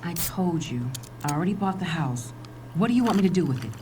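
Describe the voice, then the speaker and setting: A woman's voice: lowish voice, lively intonation, brisk, background noise, natural voice. A woman playing a sassy, loving middle-aged mother in an audio drama, speaking frankly and conversationally. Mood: hurt, quiet. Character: sassy, loving mother of grown children, fed-up wife. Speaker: actor.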